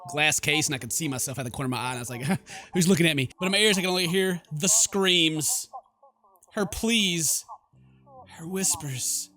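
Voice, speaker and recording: A man with a fairly high, very bright voice hosts a horror game playthrough. He narrates the on-screen story dramatically and breaks into exclamatory commentary of his own. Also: normal speed, faint music behind. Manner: theatrical, exclamatory when reacting. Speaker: gaming video host. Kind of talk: horror game let's play, reading the story aloud with reactions.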